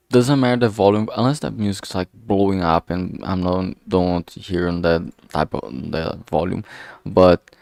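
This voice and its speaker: A man's voice: fairly low pitch, a little mellow, dry recording, studio quality. A man giving casual, rambling commentary while streaming his drawing work, informal and self-deprecating in manner. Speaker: streamer making a visual novel.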